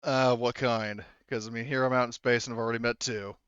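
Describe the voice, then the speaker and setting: A man's voice: mid-range voice, steady tone, fairly quick, fairly bright tone, clean tone, no room sound. A man chatting casually as a player in a tabletop roleplaying game. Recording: slightly dull, lo-fi audio.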